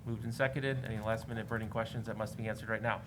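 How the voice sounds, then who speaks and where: Board chair running votes in a noisy room. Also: male voice, lowish voice, fairly even intonation. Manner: brisk procedural, with light asides. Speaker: school board president chairing the meeting. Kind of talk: chairing a formal meeting.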